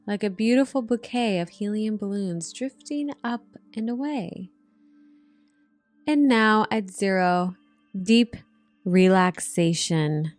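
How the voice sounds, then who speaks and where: A woman narrating a guided meditation in a soothing, gentle manner, with drawn-out hypnotic phrasing. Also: mid-range voice, dry recording. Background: relaxation music.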